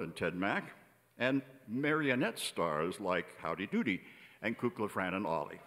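A man in his seventies with an American accent, reading a prepared introduction as a stage announcer, measured and emphatic through his lists. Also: on the low side, fairly bright tone. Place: on stage in a large indoor theater. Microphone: vintage-style microphone on a stand. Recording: reverberant theater hall.

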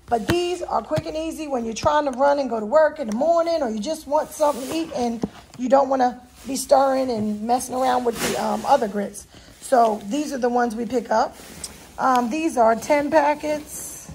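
A woman's voice: sits high, vocal fry, darkish tone, slight background noise, rough recording. A woman vlogger talking in a folksy, chatty way straight to her viewers, recorded on a handheld phone in a home kitchen. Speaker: vlogger showing a grocery haul. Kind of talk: casual chat to viewers. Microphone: handheld phone behind the camera.

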